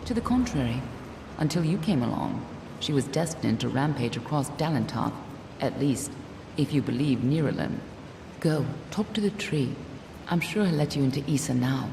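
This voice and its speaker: Low, gravelly, sardonic female fighter. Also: animated, normal speed, distant mic, exaggerated voice. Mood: sardonic, grim. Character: world-weary, sardonic female fighter and reluctant dark ally. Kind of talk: video game character dialogue. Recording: roomy echo, clear background rumble noise.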